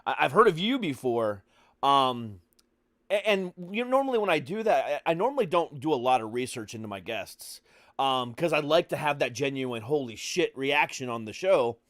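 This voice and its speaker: A man hosts a podcast in a loose, conversational interview style. His voice sits at a medium pitch and he talks informally, with easy swearing. The sound is dry, with very little room in it. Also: sing-song, moderate pace, a bit bright, dry recording. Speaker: podcast host. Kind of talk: casual interview chat. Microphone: video call.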